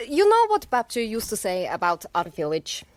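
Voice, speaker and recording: An actress playing a patient but frank young foreign girlfriend, speaking in measured, non-native phrasing in an audio drama. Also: mid-range voice, huge pitch swings, dry recording. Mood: earnest advising. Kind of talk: naturalistic audio drama dialogue.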